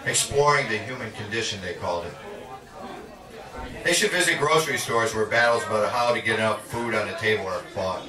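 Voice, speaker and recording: A man reading poetry aloud at a live reading in a measured, dramatic spoken-word delivery. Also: on the low side, over music. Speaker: poet. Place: indoor literary cafe reading. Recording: echoey, distant live recording with audience. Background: audience sounds.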